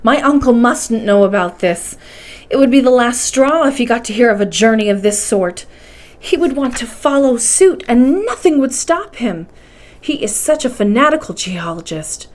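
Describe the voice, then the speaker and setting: A woman voicing an anxious, excitable young nephew in a storybook reading, with agitated, emphatic exclamations. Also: a little high, normal speed, natural voice. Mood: alarmed. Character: excitable, anxious young nephew narrating his own adventure.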